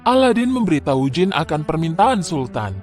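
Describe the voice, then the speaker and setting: A man with a high, animated voice tells a children's fairy tale. His scripted narration is expressive and deliberate, with soft music behind it. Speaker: storyteller.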